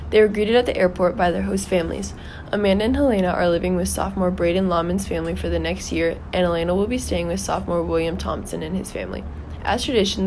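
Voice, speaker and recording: A teenage girl narrating a school news report in a steady, measured read. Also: medium pitch, a little hiss or hum. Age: teens. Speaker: student reporter.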